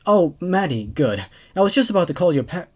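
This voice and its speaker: A kind young kindergarten teacher in a horror story reading , voiced by a man. She speaks in a raised, lively pitch and calls out clearly, as if to a class of little children. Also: brisk. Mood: relieved. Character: young female substitute kindergarten teacher, laid-back and kind.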